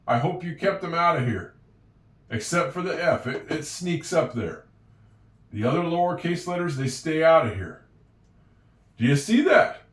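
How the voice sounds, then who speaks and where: A man from Oregon walks a young learner through a writing lesson in a slightly high voice that rises and falls a lot. He talks to the viewer in a chatty, encouraging way. The sound is roomy and echoey, with him set back from the microphone. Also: far from the mic. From Oregon, USA. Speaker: handwriting and English tutor for a child. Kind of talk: slow, patient writing lesson. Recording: echoey room, voice away from the mic.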